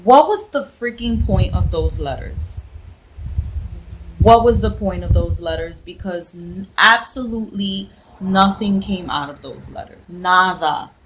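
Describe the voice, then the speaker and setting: A woman talks slowly and bluntly in a slightly low voice, with clear noise on the recording. She rants in a casual book-vlog style, sassy and emphatic, hammering phrases by repeating them. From the Bronx, New York. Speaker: book vlogger. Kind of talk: casual reading vlog rant. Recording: noisy home vlog recording.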